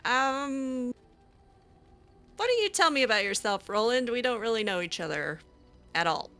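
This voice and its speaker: Gaming roleplay host, woman, bright tone, hesitant and rambling, a bit snarky. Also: a little high, lively intonation, moderate pace, soft background music. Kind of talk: roleplaying a character in a video game.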